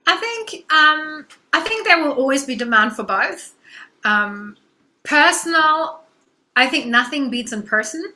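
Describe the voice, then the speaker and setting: A woman's voice: high voice, animated, unhurried, bright, forceful tone. A woman with a German accent, a guest coach being interviewed over a video call, enthusiastic, with frequent "um"s and "you know"s.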